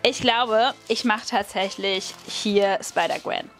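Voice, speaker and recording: A woman's voice: medium pitch, slow, a bit bright. A woman with a German accent chatting casually while unpacking and crafting at a table, thinking aloud with hums and small laughs. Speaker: crafting video host. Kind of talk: casual unboxing and crafting commentary. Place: indoors at a wooden table. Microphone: overhead camera, hands only.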